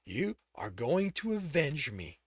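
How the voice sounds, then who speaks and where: A desperate young man who forces out harsh, loud commands, his voice rising high and leaping all over the place, as a male reader acts him out. The sound is close and completely dry, with no room around it. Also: very animated, slow, husky, dry recording, exaggerated voice. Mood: cold, scornful. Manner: loud, clipped, forceful delivery.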